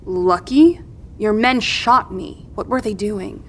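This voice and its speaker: A woman's voice: medium pitch, slight background noise, natural voice. A woman playing a weak, wounded survivor in an audio drama, wary and blunt, speaking in weary sighs. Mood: weary, accusing. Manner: slow, weak, sighing. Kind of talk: scripted audio drama acting.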